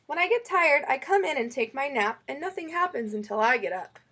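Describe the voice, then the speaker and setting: A woman reading a children's picture book aloud in an expressive, storytelling way. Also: high voice, fairly quick. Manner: expressive, acts out quoted lines.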